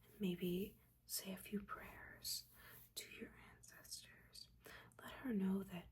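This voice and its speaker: Woman playing a whispering, motherly witch mentor. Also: medium pitch. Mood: solemn, tender. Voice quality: soft, breathy whisper. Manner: gentle, halting, instructive. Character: older witch mentor, motherly, judging yet caring teacher. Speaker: ASMR roleplayer. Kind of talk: whispered ASMR personal-attention roleplay.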